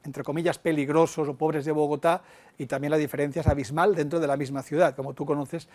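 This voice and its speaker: A man's voice: fairly high pitch, level tone, fast, a little mellow. Chatty man interviewing a poet. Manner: chatty, agreeing often. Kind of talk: conversational interview.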